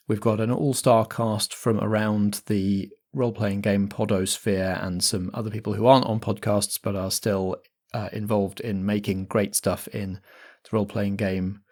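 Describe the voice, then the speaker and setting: A man's voice: fairly low pitch, a little mellow. A man giving conversational announcements as a podcast host.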